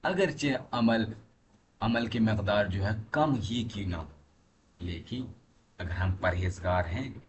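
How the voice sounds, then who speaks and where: Man doing a religious lesson, really slow n deliberate, emphatic teacher style, noisy recording. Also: on the low side. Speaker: religious teacher reading and explaining narrations. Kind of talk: religious lesson, reading aloud with commentary. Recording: clearly noisy recording with background ambience.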